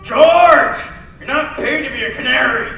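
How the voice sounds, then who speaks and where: A young man playing a gruff, grieving, drunken old druggist in an old-fashioned radio play. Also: very high voice, unhurried, sharp tone, dull audio, full character voice. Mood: angry, barking. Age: young adult. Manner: barking, gruff. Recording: echoey hall, distant mic, faint noise.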